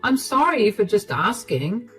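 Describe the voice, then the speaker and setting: A woman's voice: medium pitch, big pitch swings, measured pace, a bit bright, husky, soft background music, heavily acted, rough recording. A woman voicing a lazy, unemployed husband who is defensive and entitled, in a read-aloud story. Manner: whiny and defensive.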